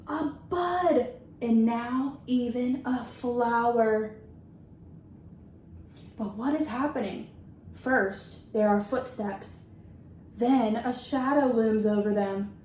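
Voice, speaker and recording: A woman's voice: high-pitched, a little mellow. A woman teacher reading a children's picture book aloud, speaking simply and instructively to young listeners. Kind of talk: children's story read-aloud with questions to listeners. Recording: echoey room with faint background noise.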